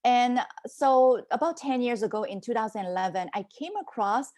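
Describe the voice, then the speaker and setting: A woman in her forties, a business mentor raised in Hong Kong and Canada, telling her life story as a podcast guest in an animated, conversational way. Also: a little high, moderate pace. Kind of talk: casual interview storytelling.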